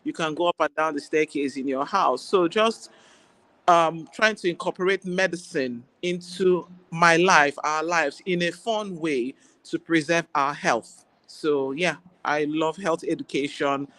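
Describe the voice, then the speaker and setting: A woman with a Nigerian accent, an Igbo speaker, talking as a guest doctor in a relaxed interview, chatty and quick to laugh. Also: low-pitched. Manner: warm, chatty, laughs easily. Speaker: guest, general practitioner. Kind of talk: casual interview chat.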